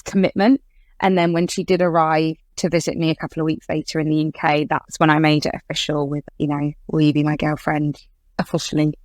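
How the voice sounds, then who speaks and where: A woman with an English accent, in her thirties, talking casually as a podcast guest. Her voice sits a little low, and she strings her thoughts along with easy fillers. The sound is close and dry. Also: no room sound. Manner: chatty, fills with "like" and "I guess". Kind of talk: casual interview chat.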